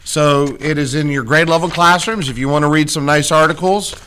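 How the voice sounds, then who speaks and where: Male school administrator, forceful and fairly high, slightly hoarse, informal rallying announcements, noisy recording. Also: fairly quick. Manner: rallying, repeated check-in questions. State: voice starting to go hoarse. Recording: clearly noisy background.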